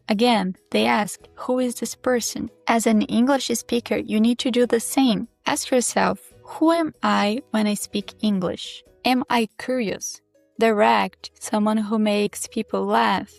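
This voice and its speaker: A Brazilian woman, a native Portuguese speaker with a Brazilian accent, hosting her English-learning channel and talking in a calm, measured, candid way. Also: mid-range voice, gravelly, no room sound. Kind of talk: reflective teaching monologue to camera. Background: soft background music.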